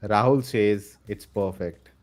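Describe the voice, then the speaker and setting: A man's voice: lowish voice, slow, darkish tone, soft background music. A man hosting a live stream, upbeat and reading out chat votes. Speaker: stream host.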